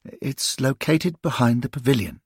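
A man doing a fussy, fretful old gentleman. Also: mid-range voice, moderate pace, no echo, studio quality, voice pushed up, lightly acted. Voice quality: husky, creaky, prim and fretful.

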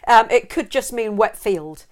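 A woman's voice: fairly high pitch, animated, measured pace. A middle-aged English woman co-hosting a podcast, chatting casually and giggling as she reads out odd facts. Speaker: podcast co-host. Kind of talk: casual chat.

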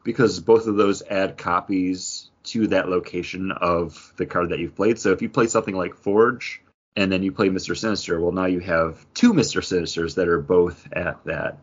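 A man's voice: sits low, steady tone, normal speed, breathy edge, dull audio. A man hosting a casual podcast chat about games, talking in an enthusiastic, rambling way. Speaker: podcast host.

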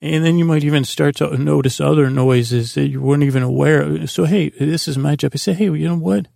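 A man with a creaky, gravelly voice hosting a sleep podcast in a meandering, halting, self-deprecating monologue full of tangents. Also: on the high side, dark tone, no echo, studio quality. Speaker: podcast host.

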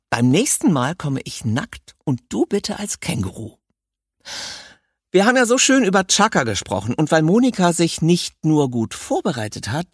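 A man's voice: on the high side, husky, dry recording, clean studio sound. A man hosting a casual interview and chatting informally. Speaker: podcast host.

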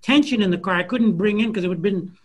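High-voiced man, halting author. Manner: many fillers and restarts, searching for words. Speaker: author answering audience questions. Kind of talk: thoughtful conversational Q&A answer.

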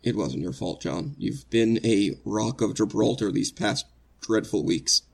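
A man acting anguished condemned husband, husky creaky voice, halting and stammering, earnest, old-time radio drama. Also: lowish voice, expressive, heavily acted. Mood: regretful. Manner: halting, stammering under emotion.

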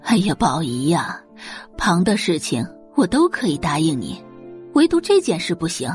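A woman's voice: lowish voice, sing-song, a little mellow, faint music behind. A woman playing a scornful middle-aged rich mother who dotes on her daughter, haughty and dismissive, in an audio drama. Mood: firm, scornful. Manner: haughty, dismissive, drawn-out sighs and snorts.

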